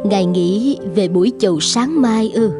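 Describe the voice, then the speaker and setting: A woman's voice: medium pitch, normal speed, natural voice. A woman voicing an attentive, dutiful royal consort in a children's cartoon, courtly and respectful. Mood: concerned, deferential. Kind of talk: dubbed children's cartoon acting. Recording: faint music behind.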